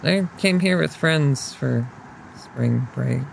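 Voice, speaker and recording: A very creaky, slow-talking young woman, voiced by a man in an audio drama. The character is polite but guarded, giving hesitant, evasive answers. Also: mid-range voice, slight background noise, poor audio.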